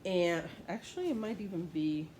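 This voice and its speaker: A woman who grew up in Puerto Rico leads a Bible study lesson, thinking aloud, pausing and restarting as she checks her notes. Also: lowish voice, lively intonation, a little mellow, slight background noise.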